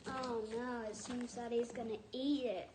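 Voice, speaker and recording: A woman reading a picture book aloud in a halting, deliberate way, stopping to add personal asides. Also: a little high, flat delivery, a little mellow. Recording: echoey room with faint noise.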